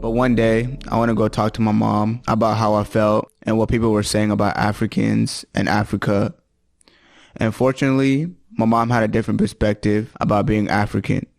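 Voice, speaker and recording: A young man from Tanzania reciting a spoken word piece in a measured, earnest way. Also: lowish voice, level tone, vocal fry, a little mellow, heavy reverb, recorded from a distance. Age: young adult. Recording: music playing underneath.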